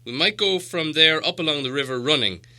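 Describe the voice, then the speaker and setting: Male narrator as dwarf leader, proud, grumbling, weighty, bright forceful tone, storybook fantasy. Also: on the high side, a little fast, pitched above the performer's own voice. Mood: earnest.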